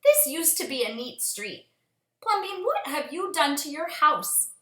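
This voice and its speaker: Fussy, gossipy storybook neighbors, acted out by a woman reading aloud in a raised, high pitch. They exclaim indignantly and swing up and down with scandal, in an echoey room. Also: wildly swooping pitch, a little slow, a bit bright, full character voice. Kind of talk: children's storybook read-aloud.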